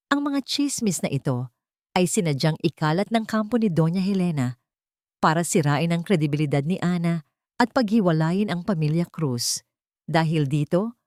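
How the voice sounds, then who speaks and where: Woman narrating a drama, lower voice, dry sound. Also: a little mellow, no room sound. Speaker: storyteller, narrator. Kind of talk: scripted dramatic narration of a romantic story.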